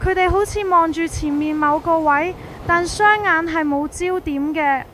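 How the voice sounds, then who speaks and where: A woman's voice: very high-pitched, unhurried, fairly bright tone, slight background noise. A woman with a Hong Kong Cantonese accent narrates a scripted suspense story in the first person, in a colloquial, dramatized way.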